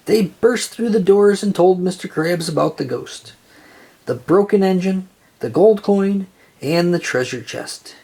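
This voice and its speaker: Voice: medium pitch, warm tone, slightly rough. A storyteller reading a children's picture book aloud in narration style. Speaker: storybook reader.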